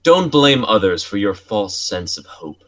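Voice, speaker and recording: A man reading the part of a calm, cold old man in a robe, measured and cutting. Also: lowish voice.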